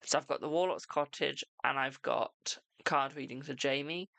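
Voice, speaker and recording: A British tarot reader hosting a live stream, chatting casually and answering viewers' questions with a brisk "my darling". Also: medium pitch, creaky, bright, forceful tone, no echo. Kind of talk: casual live-stream chat and card readings.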